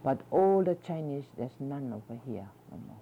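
A woman's voice: very deep voice, very animated, normal speed, creaky. An older woman, answering interview questions and explaining things with a rambling "you see.". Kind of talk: oral history conversation. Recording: dull lo-fi recording with faint noise.

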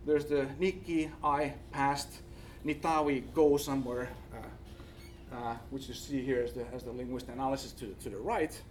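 A man with a Finnish accent gives an academic presentation, speaking haltingly and searching for words. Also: medium pitch, fairly even intonation. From Finland. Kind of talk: conference presentation with slides. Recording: distant mic, faint background noise.

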